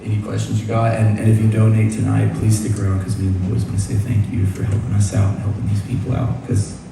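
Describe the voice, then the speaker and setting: A man's voice: fairly low pitch, monotone, fast talker, creaky, music in the background. A young man from Nashville, fronting a band and telling a rambling, self-deprecating story between songs on stage. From Nashville, USA. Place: large concert club stage. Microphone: stage vocal mic through PA. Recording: echoey live concert hall from far off.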